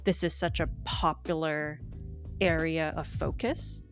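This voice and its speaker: A woman with a slightly low voice hosts a relaxed interview podcast. She chats freely, with plenty of fillers and laughs. Soft music plays faintly behind her. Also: measured pace. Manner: chatty, frequent fillers and laughs. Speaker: podcast host. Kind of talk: casual interview chat. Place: Toronto.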